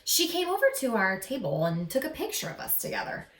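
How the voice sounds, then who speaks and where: A woman reading a picture book aloud to young listeners in an expressive, teacherly way, with dramatic pauses. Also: on the high side. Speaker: read-aloud storyteller. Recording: echoey room, slightly distant mic.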